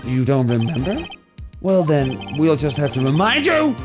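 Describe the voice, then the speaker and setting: Someone playing a smug male prosecutor, animated delivery. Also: medium pitch, unhurried, music in the background. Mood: smug, pressing. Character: smug, formal middle-aged male prosecutor. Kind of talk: video game dramatic reading.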